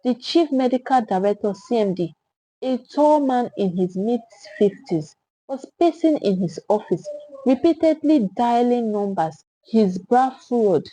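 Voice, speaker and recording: Mellow, husky Nigerian woman telling a story. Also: a little high, lively intonation, unhurried, rough recording. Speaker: storyteller narrator. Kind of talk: dramatic scripted story narration.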